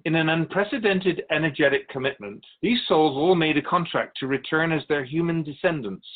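A man playing an otherworldly keeper of souls in a radio drama, speaking in a measured, formal, philosophical way with patient wisdom. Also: on the high side. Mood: gentle, reverent. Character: otherworldly male keeper of souls, wise, patient, solemn messenger. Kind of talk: radio drama interview acting. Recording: dull lo-fi audio.

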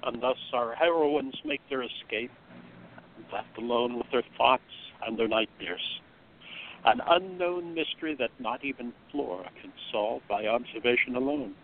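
A man performs a solemn mystery serial narrator in a radio play. His mid-pitched voice is measured and melodramatic. The audio is thin and phone-like, with faint noise behind it. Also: lightly acted. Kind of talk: scripted radio drama narration.

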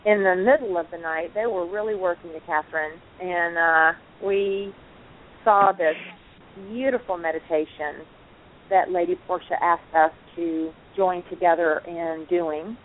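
Enthusiastic woman co-host, lowish voice, narrow phone-line sound. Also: steady tone, a little slow. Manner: effusive, enthusiastic. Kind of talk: casual online talk show chat.

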